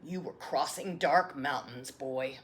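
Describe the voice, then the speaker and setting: A woman voicing a gentle, loving old grandfather in a solemn, tender storybook read-aloud. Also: very deep voice, takes it slowly, slight background noise, pitched below the performer's own voice.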